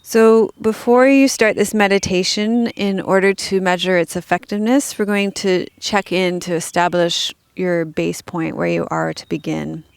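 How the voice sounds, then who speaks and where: A woman leading a guided meditation as a teacher, speaking calmly and gently in measured instructions with pauses. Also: fairly low pitch, vocal fry, smooth, no echo.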